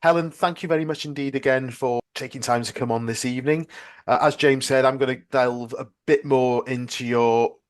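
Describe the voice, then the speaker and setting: A man interviewing a guest on a podcast as a casual fan, informal and a little halting. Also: medium pitch, fairly even intonation.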